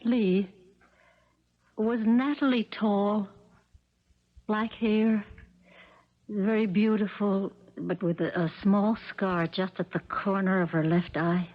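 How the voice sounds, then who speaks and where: A woman's voice: mid-range voice, fairly even intonation, slow, pauses often. A woman playing a shaken, earnest stepmother in a 1970s radio drama. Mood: hushed, haunted. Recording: muffled vintage radio broadcast.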